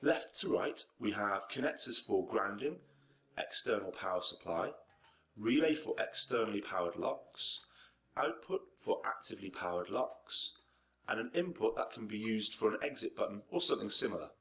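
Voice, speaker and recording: A man's voice: lowish voice, lively intonation, moderate pace, sharp tone. A man reading scripted narration for an instructional video tutorial.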